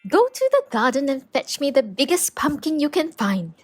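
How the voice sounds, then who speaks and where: A woman's voice: sits high, wildly swooping pitch, moderate pace, faint music behind, pitched above the performer's own voice. A woman voicing a kindly, commanding fairy godmother in a storybook, reassuring and instructive. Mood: kindly, purposeful.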